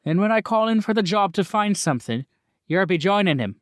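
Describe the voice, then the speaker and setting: A man playing a gruff, foul-mouthed mob boss with a blunt, commanding, bargaining manner in a tabletop game, over faint music. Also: sits high, lively intonation, brisk, no echo, studio quality, pitched above the performer's own voice. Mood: businesslike, stern.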